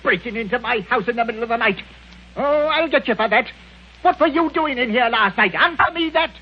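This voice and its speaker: A man's voice: very high voice, lively intonation, a little fast, breathy edge, exaggerated voice. An actor playing a miserly, irascible old man with blustering, indignant delivery in 1940s radio style. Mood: outraged, threatening. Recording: muffled, hissy 1940 radio broadcast.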